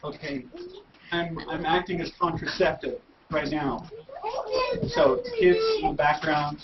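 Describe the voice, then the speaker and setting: A man talks casually as a caller in an online hangout. He sounds away from the microphone, with dull audio and loud noise all around. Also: on the high side, steady tone, a little slow, far from the mic. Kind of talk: casual chat. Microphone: video call, away from the microphone. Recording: muffled, very noisy web call, off mic.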